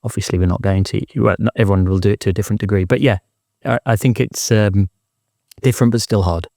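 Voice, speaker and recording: Thoughtful British man with a lowish voice. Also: steady tone, fast, vocal fry, mellow, dry recording, clean studio sound. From United Kingdom. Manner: thoughtful, peppers points with "right?". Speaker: interview guest, inclusion consultant. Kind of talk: reflective conversational interview.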